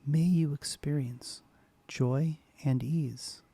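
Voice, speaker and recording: A man hosting a soft-spoken ASMR guided meditation, speaking slowly and gently, often dropping into a whisper. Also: on the high side, fairly even intonation, creaky, breathy edge, no room sound. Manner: slow, soft, partly whispered. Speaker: ASMR host.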